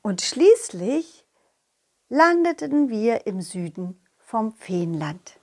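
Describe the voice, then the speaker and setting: Casting: upbeat, loyal cartoon dog, voiced by a woman, highish voice, unhurried, playful storytelling for children's audio play. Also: full character voice. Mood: cheerful, narrating. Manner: playful, expressive, addressing a companion. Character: cheerful, confident, loyal talking dog telling his adventures.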